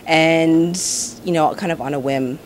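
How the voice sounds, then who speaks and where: A woman in her thirties with a low voice answers interview questions as a TV host. She talks in a relaxed, chatty way, often saying "you know" and "um". A faint noise sits behind her. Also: unhurried. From Canada, Nova Scotia.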